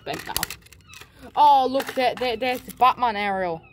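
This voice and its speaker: A slow, rambling voice in a middling feminine pitch gives casual, crude commentary. The delivery is jokey and sweary, muttered into a handheld phone outdoors, with clear noise on the recording. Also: lively intonation. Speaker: amateur video maker. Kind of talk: casual rambling commentary while smashing a toy. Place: outdoors on pavement beside a brick wall. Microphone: handheld phone close to the speaker.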